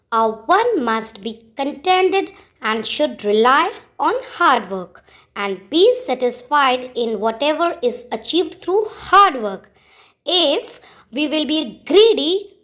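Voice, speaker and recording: A woman's voice: high voice, a bit bright. A woman presenting a simple moral lesson to children in a didactic way.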